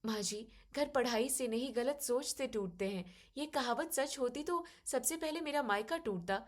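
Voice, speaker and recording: A young, educated daughter-in-law in a family drama story, played by a woman. She speaks softly and politely, yet reasons firmly and with quiet determination. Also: sits high, normal speed. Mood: polite, determined. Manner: polite but firm and reasoning.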